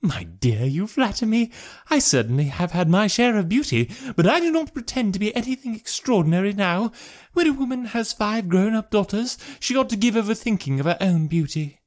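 A man voicing an excitable, pushy middle-aged English wife in an audiobook, gushing and insistent. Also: high voice, fairly quick, few pauses. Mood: coy, flattered. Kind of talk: audiobook character voice.

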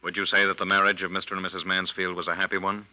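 A man plays a stern, methodical police lieutenant in a 1940s radio drama. His low voice is formal and probing. It comes through a dull old recording. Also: level tone, rapid-fire, close to an everyday voice. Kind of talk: 1940s radio drama acting, courtroom questioning.

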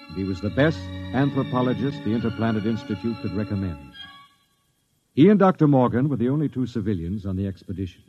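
A dull, old radio recording with music behind it carries a man acting as a stern spaceship captain. He speaks in a measured, authoritative way at a middling pitch, in classic radio drama style. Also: darkish tone, close to an everyday voice. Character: stern, composed male spaceship captain leading an expedition. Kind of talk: mid-century radio drama acting and first-person narration.

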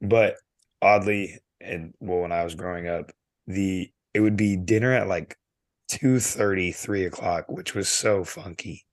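A man's voice: low-pitched, a little slow, no room sound. A young man co-hosting a podcast, telling a rambling story full of fillers and restarts while he loses his voice. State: losing his voice. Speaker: podcast co-host. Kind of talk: casual chat.

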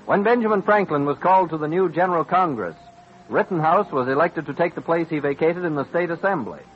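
A man's voice: fairly high pitch, brisk, slight background noise. A man giving formal, declamatory scripted narration for a historical radio drama in a 1940s style. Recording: dull vintage radio recording with music.

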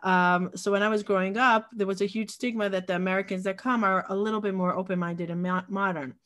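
A woman's voice: medium pitch, fairly even intonation, fairly quick, fairly bright tone. A woman in her forties who grew up in Israel speaks as an interview guest. Her talk is reflective and casual, full of small restarts as she gathers her thoughts. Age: 40s. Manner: reflective, with frequent restarts and fillers. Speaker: podcast guest, community founder.

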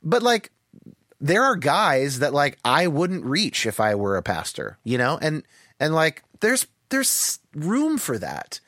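A man with a somewhat higher-pitched voice, talking thoughtfully in a podcast conversation and hedging with lots of "like" fillers. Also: huge pitch swings, slow pace, a bit bright, dry recording, studio quality. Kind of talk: casual conversation.

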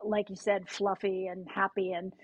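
A woman co-hosting a podcast, chatting encouragingly and trailing off softly. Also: medium pitch, little pitch movement, moderate pace, breathy edge, no room sound, muffled recording. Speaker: podcast co-host. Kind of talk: casual chat.

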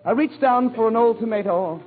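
A man's voice: very high voice, fairly quick, narrow, tinny audio, over music. A man playing an overwrought, gushing suitor in a florid mock love speech on 1940s radio, on a vintage recording. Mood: passionate reminiscing. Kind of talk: 1940s radio comedy monologue.

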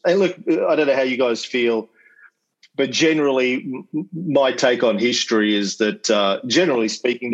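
A man with an Australian accent giving a casual lecture over a video call as a podcast host, chatty and full of ums and uhs, with wry asides. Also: mid-range voice. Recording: slightly dull video-call audio.

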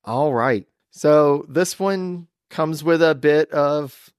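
A man's voice: fairly high pitch, slow, clean tone, no room sound. A man hosting a history podcast in casual chat, upbeat and laughing often, on a close microphone. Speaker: podcast host.

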